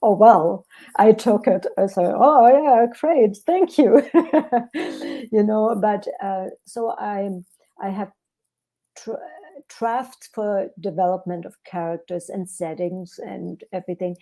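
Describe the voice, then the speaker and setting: Author guest: German-accented woman, unhurried, gravelly, low-key, thoughtful. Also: warm tone. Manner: thoughtful, hesitant with fillers and restarts. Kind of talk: podcast interview.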